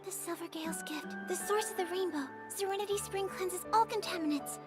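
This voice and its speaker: Earnest little girl for a game: extremely high, heartfelt, determined, music behind. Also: brisk. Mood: resolute. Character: earnest, tender young girl, hopeful and determined. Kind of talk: video game dialogue acting.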